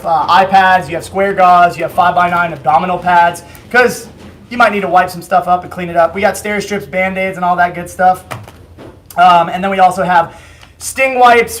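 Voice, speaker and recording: A man hosting a casual livestream, chatty and joking, with a hoarse voice today. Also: high voice, fairly bright tone, a little hiss or hum. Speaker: host of a company livestream. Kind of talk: casual product livestream chat.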